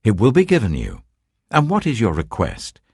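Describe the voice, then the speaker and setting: A man's voice: fairly low pitch, huge pitch swings, moderate pace, creaky, breathy edge, no echo, clean studio sound, pitched above the performer's own voice, heavily acted. A British man voicing a regal, generous king in an audiobook, with a stately, commanding manner. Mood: magnanimous.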